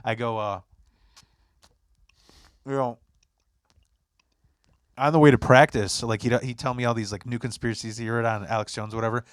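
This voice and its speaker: A Polish-born man hosting a podcast, telling loose, rambling stories full of swearing and false starts. He sits at a middling pitch for a man. His voice is close and dry, with no room sound around it. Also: fairly even intonation, normal speed, pauses often, smooth, dry recording. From Poland. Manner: rambling, profane storytelling with many restarts. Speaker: podcast host. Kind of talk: casual chat.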